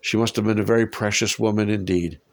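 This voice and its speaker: A man's voice: lowish voice, steady tone, quick pace. A man with a head cold and lingering cough, teaching a Bible passage in a conversational way and asking his listeners rhetorical questions. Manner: warm, musing, asks listeners rhetorical questions.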